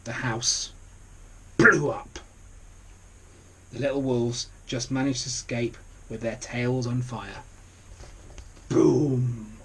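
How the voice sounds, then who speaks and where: A man reading a children's storybook aloud, deliberate, with conversational asides to the listener. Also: medium pitch, fairly even intonation, a bit bright, husky, rough recording. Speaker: story reader.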